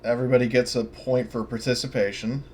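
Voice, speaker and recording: A man's voice: medium pitch, level tone, fast, darkish tone. A man chatting casually as a player in a tabletop role-playing session, tentative and often checking details over a voice call. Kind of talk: casual game-session chat. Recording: noisy voice-call audio.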